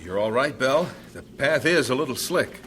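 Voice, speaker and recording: A man playing a calm, steady young missionary. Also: medium pitch, normal speed, a little hiss or hum, close to an everyday voice. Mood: cheerful. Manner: calm, reassuring. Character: young male missionary husband, steady and kind.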